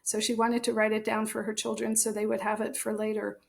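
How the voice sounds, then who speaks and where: A woman's voice: medium pitch, monotone, a little fast, creaky. An American woman speaking as an interview guest, explaining things in an articulate, conversational way with emphasis. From United States. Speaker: author and translator, interview guest.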